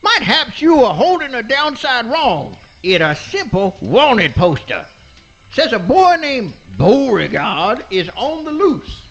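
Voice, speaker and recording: A man's voice: very high voice, very animated, slow, a bit bright, breathy edge, muffled recording, faint music behind, full character voice. A man playing a small, earnest and wry swamp critter in a comic radio play. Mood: matter-of-fact.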